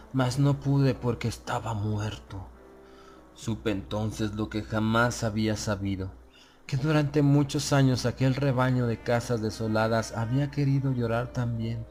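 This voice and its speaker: Male narrator, gothic horror audiobook, solemn delivery, mid-pitched voice, music playing behind. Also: a little mellow.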